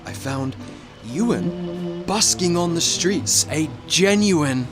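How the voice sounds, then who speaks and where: An actor playing a genial, mildly pompous 1930s radio producer who speaks in a brisk, pedantic way in a radio play. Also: male voice, high voice, animated, over music. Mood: genial, self-satisfied. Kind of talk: radio play acting and narration.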